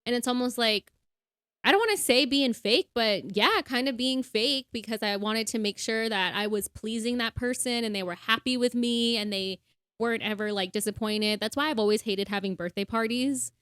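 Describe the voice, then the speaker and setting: A woman's voice: on the high side, normal speed, fairly bright tone, clean tone, no echo, studio quality. A young woman co-hosting a casual faith-based podcast, chatty and full of fillers, laughing easily. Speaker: podcast co-host.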